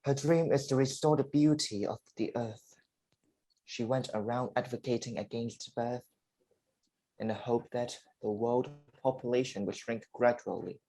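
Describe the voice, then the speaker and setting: A man performs a dying father in a play reading. His voice is husky and mid-pitched, and he takes his time over each phrase. He sounds weary and earnest, pleading to be understood. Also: fairly even intonation, warm tone, low-quality recording. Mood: solemn, confiding. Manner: slow, deliberate, emotive delivery.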